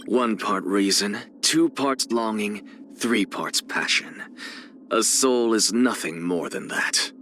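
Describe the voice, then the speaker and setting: A man's voice: lowish voice, bright, forceful tone, heavily acted. A man playing an arrogant, eccentric scholar and teacher in a video game, with a haughty, theatrical, lecturing manner.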